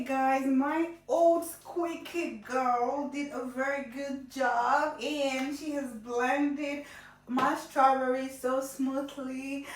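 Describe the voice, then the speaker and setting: A woman's voice: sits high, reverberant, recorded from a distance, slight background noise, poor audio. A young woman with a Nigerian accent chatting playfully to viewers while cooking in her home kitchen, laughing often. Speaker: cooking vlogger. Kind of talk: casual cooking tutorial chat.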